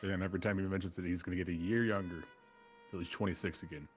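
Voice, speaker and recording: A man's voice: deep voice, steady tone, quick pace, faint music behind. A man playing a new agent in a roleplay, needling the others with sarcastic jabs. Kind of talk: in-character roleplay banter.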